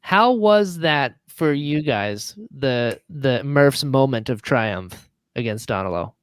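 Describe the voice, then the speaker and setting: A man chatting casually as a podcast guest and drama writer, telling stories and acting out quoted lines in an animated way. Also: medium pitch, slow pace, smooth, no echo, studio quality. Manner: animated storyteller who acts out quoted lines. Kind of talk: casual chat.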